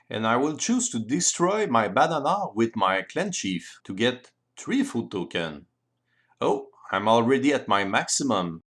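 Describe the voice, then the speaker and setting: Male tutorial narrator, French accent, slow and careful non-native delivery with a lively, swooping pitch, presenting tabletop game rules. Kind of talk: explanatory tutorial voice-over.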